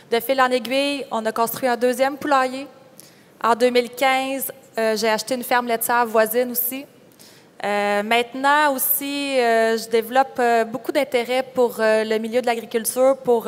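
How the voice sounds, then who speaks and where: A young woman with a Quebec French accent giving a candid, informal personal account as a conference panelist. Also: on the high side. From Quebec, Canada. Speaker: panelist, farm entrepreneur. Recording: reverberant hall sound.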